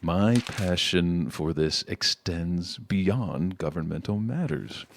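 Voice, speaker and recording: Man acting a low-voiced, caring politician father. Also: slow, creaky, slight background noise, full character voice. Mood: earnest. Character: middle-aged politician father, educated, defensive but caring. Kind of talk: naturalistic audio drama acting.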